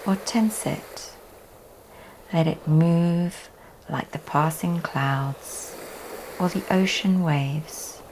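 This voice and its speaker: A woman teaching yoga leads a guided relaxation meditation in a soft, often breathy delivery with long pauses. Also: low voice, rough recording. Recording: faint background noise.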